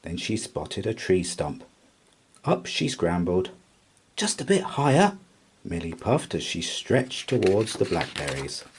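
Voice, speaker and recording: A man narrating a children's bedtime story aloud in an expressive read-aloud style. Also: low-pitched, measured pace, slight background noise.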